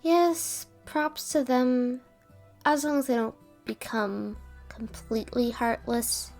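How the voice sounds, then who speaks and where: A woman plays a caring, overworked female doctor in an audio roleplay. Her voice is high and she takes her time over each phrase, deliberate and protective. Faint music plays behind her. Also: fairly even intonation, darkish tone. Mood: gentle, serious. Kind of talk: amateur audio roleplay drama.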